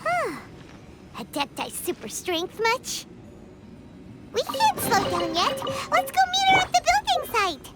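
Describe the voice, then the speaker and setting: A tiny fairy sidekick from a video game with an extremely high, childlike voice. Her delivery swings wildly in pitch, exclamatory and cheeky, always excitable. Loud music plays behind her. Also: slow pace, full character voice. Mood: excited. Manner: exclamatory, refers to self in third person. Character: small excitable chatty fairy companion girl, childlike and cheeky. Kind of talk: animated game voice acting.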